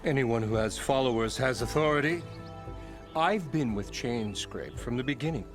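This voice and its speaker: An actor playing a pompous, self-important labour boss in a fantasy video game, bombastic, theatrical and mock-courteous in manner. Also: male voice, medium pitch, moderate pace, over music, lightly acted. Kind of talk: video game character acting.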